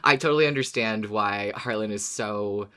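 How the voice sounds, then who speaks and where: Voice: mid-range voice, moderate pace, sharp tone, clean tone. A podcast co-host chatting casually in an easygoing, agreeable way.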